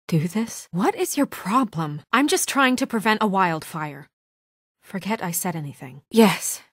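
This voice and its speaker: A woman playing a composed but anxious, dutiful young office administrator in a naturalistic video game drama, speaking in a measured, guarded, earnest way. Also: fairly low pitch, husky, no echo, clean studio sound, heavily acted. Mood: shaken, grave, then exasperated.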